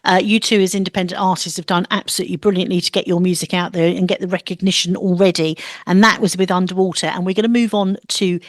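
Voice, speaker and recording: A woman's voice: lowish voice, fairly even intonation, fast, few pauses, no echo, studio quality. A woman hosting a radio show, asking her guests questions in a friendly, upbeat way. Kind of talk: radio interview.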